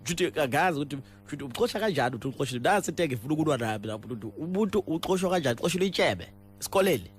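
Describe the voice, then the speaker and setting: A man's voice: a little high, lively intonation, very fast, slight background noise, close to an everyday voice. A South African Zulu man playing an indignant, stubborn father on a prank phone call, stammering and repeating himself as he argues. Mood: indignant, confused. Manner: stammering, repetitive, argumentative. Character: indignant, stubborn, rambling father complaining about his son's school. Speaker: radio prank caller.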